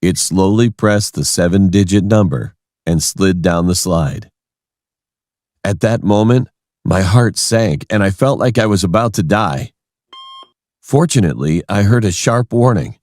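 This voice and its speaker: A man's voice: low-pitched, animated, measured pace, long pauses, darkish tone, no echo, studio quality. A man reading a scripted first-person horror story as its narrator.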